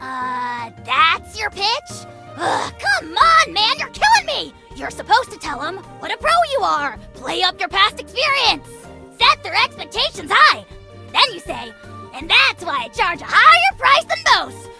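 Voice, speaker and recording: A performer voicing a tiny, arrogant, bratty dragon creature in a video game, shrill and scratchy, with bombastic, taunting, theatrical whining. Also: wildly swooping pitch, measured pace, over music, heavily acted. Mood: exasperated, scornful. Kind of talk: animated video game voice acting.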